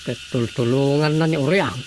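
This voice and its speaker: An actor playing a concerned, grumbling village councilor in a radio drama comedy, with a Filipino accent. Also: male voice, medium pitch, normal speed, close to an everyday voice. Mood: concerned, curious. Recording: faint background noise.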